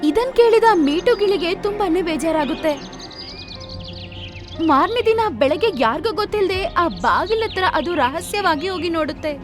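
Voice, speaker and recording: Casting: woman narrator for kids' animal stories, high-pitched and very animated, music under the voice. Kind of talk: animated children's storytelling narration.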